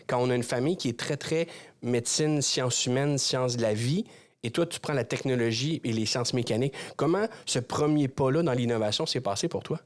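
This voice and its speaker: Man, Québécois accent, podcast host, halting, many restarts, casual chat. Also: mid-range voice. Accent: Québécois French.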